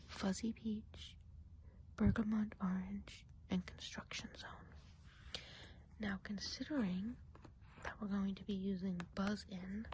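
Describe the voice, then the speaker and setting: A woman whispering softly and breathily in a chatty, confiding ASMR roleplay as a gossipy witch of the woods. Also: medium pitch, slight background noise, poor audio. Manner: confiding, unhurried, casual gossip. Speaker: ASMR performer.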